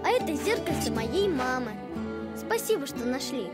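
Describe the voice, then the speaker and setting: A friendly cartoon little boy, cheerful and childlike. The voice is very high and lively, over loud music. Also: moderate pace. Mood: amused. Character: cheerful young boy of the far north. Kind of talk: animated series character acting.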